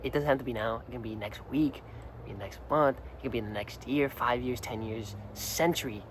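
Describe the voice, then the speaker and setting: A young man talks straight to his phone outdoors, a little hoarse, his earnest thoughts rambling and circling back on themselves. His voice sits at a middling pitch for a man. Faint traffic and outdoor ambience hum behind him. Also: brisk. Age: young adult. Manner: rambling, earnest, repeats words, many "like"s. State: slightly hoarse from singing the night before. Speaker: vlogger. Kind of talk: casual talk to camera. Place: outdoors, hot and sunny.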